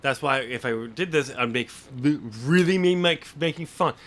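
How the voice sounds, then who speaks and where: A man who hosts a podcast, talking casually about books in a halting way and often restarting his sentences mid-thought. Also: on the high side, fairly bright tone. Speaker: podcast host and novelist. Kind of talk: casual book discussion.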